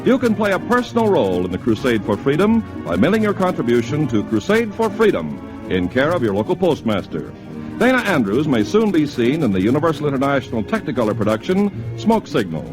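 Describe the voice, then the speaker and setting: A man's voice: medium pitch, huge pitch swings, fairly quick, over music, rough recording. An American man announcing in a scripted 1950s radio style, as on a vintage sponsored broadcast. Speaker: commercial announcer.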